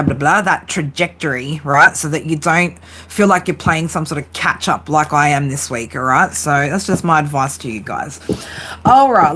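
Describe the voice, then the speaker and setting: A woman's voice: low-pitched, fairly bright tone, slight background noise. A woman giving a live tarot reading in a casual, chatty way, swearing freely. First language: English. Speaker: tarot reader.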